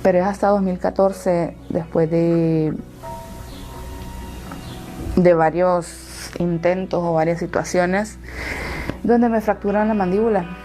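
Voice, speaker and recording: A woman in her thirties with a Salvadoran accent, giving personal testimony in an interview and pausing between phrases. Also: fairly low pitch, steady tone, dark tone, soft background music. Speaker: interviewee telling her own story.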